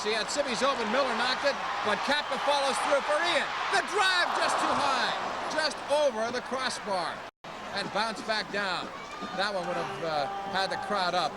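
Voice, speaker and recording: A man calling live indoor soccer play-by-play in clipped, excited running phrases. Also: high-pitched. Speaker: play-by-play announcer. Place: indoor sports arena. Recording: noisy old arena broadcast, loud crowd. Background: loud crowd and arena noise.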